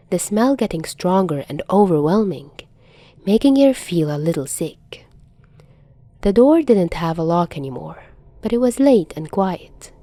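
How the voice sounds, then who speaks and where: A woman reading fan fiction aloud as narrator, in an expressive audiobook style. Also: on the low side, no room sound, studio quality.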